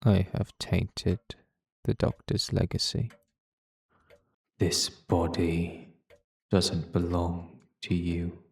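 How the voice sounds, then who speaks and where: Brooding alien time traveller, played by a man, deep and gravelly, torn by an inner voice, portentous audio-drama monologue. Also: fairly even intonation, darkish tone, no room sound, heavily acted. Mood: accusing, troubled. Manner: slow, halting, deliberate, portentous.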